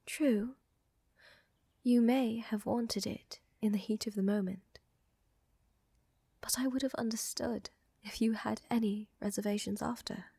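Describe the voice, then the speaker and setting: Woman playing a breathy, whispering vampire countess. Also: on the high side, steady tone, a little slow, long pauses, dry recording, heavily acted. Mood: earnest, apologetic. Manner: soft, whispery, formal and genteel phrasing. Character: elegant, flirtatious, tender female vampire countess. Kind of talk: roleplay ASMR, intimate scripted acting.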